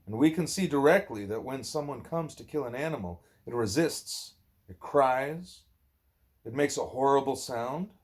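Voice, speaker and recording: A man reading a spiritual dialogue aloud at home, speaking deliberately and sometimes correcting himself. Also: medium pitch, lively intonation. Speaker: book reader, parent.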